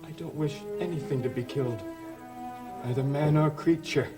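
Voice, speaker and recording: An actor playing a guilt-ridden, gentle man of a cursed family in a gothic soap opera, stammering through his confessions. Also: fairly high pitch, moderate pace, music in the background, natural voice. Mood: guilty, regretful.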